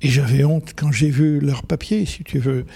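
An older man, a photographer telling stories slowly and repeating phrases for emphasis. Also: fairly high pitch, fairly even intonation, vocal fry, warm tone, slightly rough. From Algeria. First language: Arabic. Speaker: photographer guest. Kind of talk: reflective storytelling in conversation.

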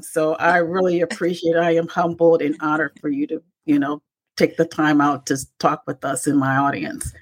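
Woman host, lower register, supportive interviewer, quick to affirm. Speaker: podcast host. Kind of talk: casual interview.

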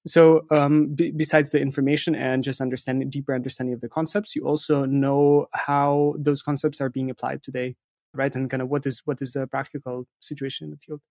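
A man's voice: medium pitch, flat delivery, brisk, darkish tone, no echo, studio quality. A man speaking as an interview guest, explaining things conversationally and often checking in with short tag questions. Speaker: interview guest, content marketing firm founder.

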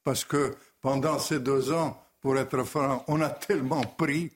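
An older man with a Russian accent, a former diplomat and writer talking as a guest in an animated, emphatic TV debate. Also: medium pitch, a little slow.

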